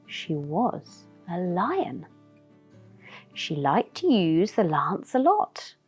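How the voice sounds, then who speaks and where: Lady doing storytime, lowish voice, super dramatic and lively, music in the back. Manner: dramatic, with gasps and whispered asides. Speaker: children's storybook reader. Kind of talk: expressive read-aloud rhyming story with asides to young listeners. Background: background music.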